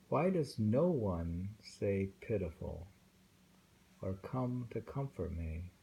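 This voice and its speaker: A man reading poems aloud and commenting casually in a slow, musing way, with thoughtful hums. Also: sits low, creaky, warm tone, slight background noise. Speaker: reader of translated poetry.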